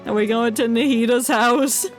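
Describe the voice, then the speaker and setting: High-voiced, playful woman streaming a game. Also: fairly even intonation, normal speed. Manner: playful, joking asides. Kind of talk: casual live playthrough commentary, reading dialogue aloud. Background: game music.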